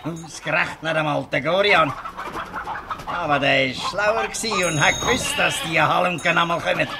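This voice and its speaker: A man playing a gruff, shrewd old fisherman who scolds in Swiss German in a radio play. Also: fairly high pitch, moderate pace, background noise, natural voice. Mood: scolding, gloating. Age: older. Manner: blustering, ends taunts with a questioning "hä". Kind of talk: dialect radio play acting.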